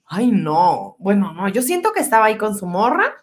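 A woman with a Mexican accent co-hosting a podcast, telling stories in an animated, casual and slangy way. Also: mid-range voice, moderate pace. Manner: animated, colloquial. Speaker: podcast co-host. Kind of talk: casual chat and storytelling.

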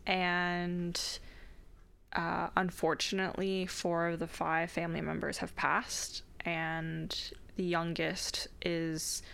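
Woman on a podcast, gravelly but bright tone, says "like" a lot, thoughtful. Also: fairly low pitch, flat delivery, takes it slowly. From Canada. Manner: heavy use of "like", earnest and reflective. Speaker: podcast co-host. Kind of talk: casual podcast discussion.

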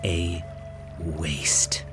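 An actor playing Van Helsing, a bitter, taunting vampire hunter, in a theatrical radio drama style. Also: male voice, low voice, flat delivery, extremely slow, over music. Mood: goading.

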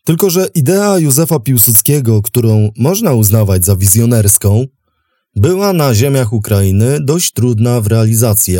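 Man narrating history script, mid pitch, mellow, measured and articulate, hosty. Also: no echo, clean studio sound. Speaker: podcast host and narrator.

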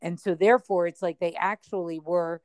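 A woman's voice: fairly low pitch, fairly even intonation, moderate pace, a little mellow, dry recording, clean studio sound. A woman teaching in a study session, explaining at length and thinking aloud with frequent ums and hedging words.

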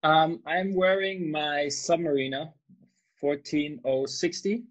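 A man's voice: a little high, slow. A man from Austria hosting a podcast, chatting in an easygoing, agreeable way. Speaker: podcast host. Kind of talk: casual chat.

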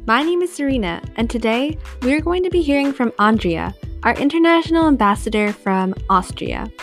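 A woman's voice: on the high side, animated, brisk, soft background music. A young woman hosting a podcast, reading a scripted introduction. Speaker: podcast host.

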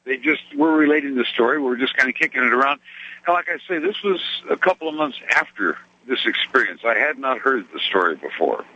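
A man, a truck driver, calling in to a radio show and telling a story in a genteel, lively way, with little laughs. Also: medium pitch, brisk, breathy edge, highs cut off. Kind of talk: telling a story on a phone call-in.

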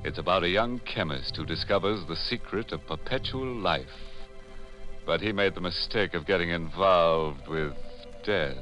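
An actor playing a ghoulish, gleeful horror host on 1940s radio, with mock-sinister, drawn-out delivery of macabre puns. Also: male voice, sits low, fairly bright tone. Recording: 1940s radio recording with music.

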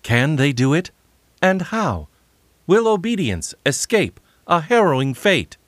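A man's voice: fairly high pitch, very animated, measured pace, no echo. An actor playing an over-eager, theatrical narrator of witch tales in an audio drama. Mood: grand, ominous. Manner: grandly dramatic storyteller, turning awkward. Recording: music underneath.

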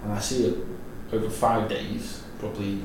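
A man with a Manchester accent, co-hosting a podcast in relaxed, chatty talk that trails off. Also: fairly low pitch, moderate pace. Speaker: podcast co-host. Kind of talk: casual chat. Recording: echoey room, distant mic, background noise.

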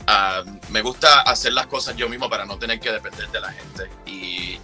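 Man in his 30s, Cuban accent, low bright voice, relaxed chatty guest, faint music behind. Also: moderate pace. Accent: Cuban Spanish. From Cuba. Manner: relaxed, chatty, laughs often, many fillers. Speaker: guest, drag performer and singer. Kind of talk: casual interview chat.

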